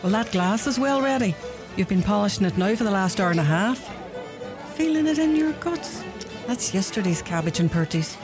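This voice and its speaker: A woman's voice: medium pitch, expressive, normal speed, over music, lightly acted. A woman playing a sardonic publican's daughter behind the bar, with a Donegal Irish accent and dry, cutting delivery, in a radio play. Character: sharp-tongued barmaid, publican's sardonic daughter.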